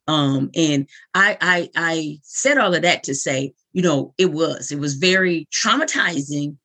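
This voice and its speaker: A woman's voice: low-pitched, unhurried, bright, forceful tone. A woman in her late forties from the United States, hosting a live podcast interview, effusive and chatty with frequent laughs. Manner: effusive, laughs often, rambling restarts. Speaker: host.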